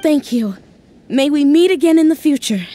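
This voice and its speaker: A woman's voice: high voice, expressive, normal speed, dark tone, slight background noise. A woman playing an earnest, determined young female scholar in a game, speaking politely. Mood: resolute. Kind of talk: scripted game voice acting.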